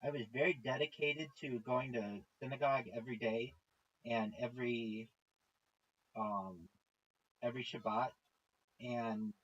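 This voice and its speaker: A man, a rabbi, talking casually and haltingly, with many pauses and fillers. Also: medium pitch, husky, dull audio. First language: not Hebrew. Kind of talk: casual chat while playing golf.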